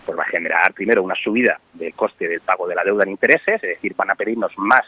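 A man with a low, husky voice who delivers worried, analytical commentary at a fast pace, often pausing on "eh". He comes through a dull, lo-fi line. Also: no echo. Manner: worried, analytical, with frequent "eh" fillers. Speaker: economic commentator. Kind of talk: radio commentary.

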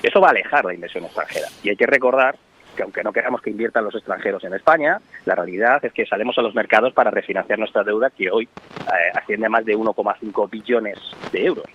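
A man with a low, husky voice who delivers worried, analytical commentary at a fast pace, often pausing on "eh". He comes through a dull, lo-fi line. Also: a bit bright. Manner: worried, analytical, with frequent "eh" fillers. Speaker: economic commentator. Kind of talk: radio commentary.

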